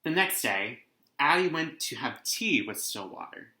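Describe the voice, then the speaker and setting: A young man hosts a casual read-aloud for students. His voice is bright and mid-pitched. The sound is roomy, as if recorded in a living room. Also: big pitch swings, measured pace. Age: young adult. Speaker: read-aloud host, teacher. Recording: roomy indoor echo.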